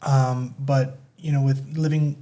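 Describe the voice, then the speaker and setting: A man's voice: mid-range voice, little pitch movement, slow, dark tone. A man from Spain whose first language is Spanish, speaking English as a podcast guest, hesitant and full of "um"s. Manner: hesitant, with many "um"s and "you know"s. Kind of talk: casual chat.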